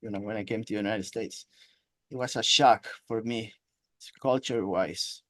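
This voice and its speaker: A man's voice: fairly low pitch, normal speed, a bit bright, no room sound. Hesitant male interview host. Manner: hesitant, many fillers. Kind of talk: casual interview.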